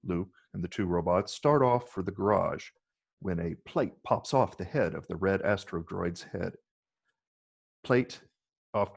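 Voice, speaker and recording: A man's voice: low-pitched, creaky, slightly rough. A man narrating scripted screenplay stage directions for a table read.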